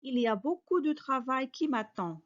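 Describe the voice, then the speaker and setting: High-voiced teacher woman drilling phrases. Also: expressive, normal speed, highs cut off. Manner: careful, clearly spoken model sentences, each repeated. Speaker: French teacher. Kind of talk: slow repeated phrase drills with quick translations.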